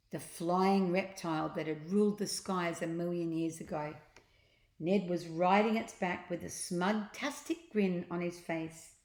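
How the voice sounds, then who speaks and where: A woman storyteller with a slightly low voice takes her time through a children's book. She reads theatrically and chats to listeners in asides. The room around her echoes. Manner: theatrical, acts out sound effects. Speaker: storyteller reading children's books aloud. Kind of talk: expressive read-aloud narration with asides to listeners.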